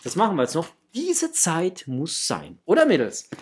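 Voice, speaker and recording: A man in his thirties with a slightly husky voice, chatting informally to viewers as host of an unboxing video. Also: a little high, huge pitch swings, moderate pace, background noise. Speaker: host of a toy collecting channel. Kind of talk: casual chat, unboxing to camera. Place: small room at home, indoors.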